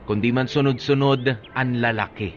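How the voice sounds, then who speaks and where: A man's voice: mid-range voice, steady tone, moderate pace, highs cut off. A man hosting a radio drama program, giving casual, sympathetic commentary to a co-host.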